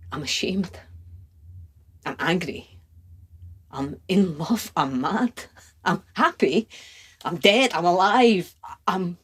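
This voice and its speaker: A woman playing a tormented, lovestruck poetic suitor in an impassioned stage monologue, husky and often breathy, in short repeated phrases. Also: lowish voice, fairly bright tone, exaggerated voice. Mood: feverish.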